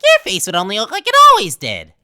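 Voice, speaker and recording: A woman's voice: mid-range voice, huge pitch swings, quick pace, pushed and bright. A woman voicing a manic, childish talking flower villain from a video game, theatrical and mocking, swinging between cutesy and menacing. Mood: gleeful, taunting. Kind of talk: dramatic game villain monologue voice acting.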